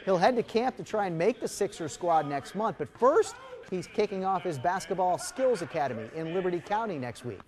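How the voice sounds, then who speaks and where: A middle-aged man anchoring TV sports, reading highlights in a punchy, emphatic announcer style. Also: expressive, a little fast, hardly any pauses, a little mellow. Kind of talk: scripted sports highlights narration. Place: TV news studio.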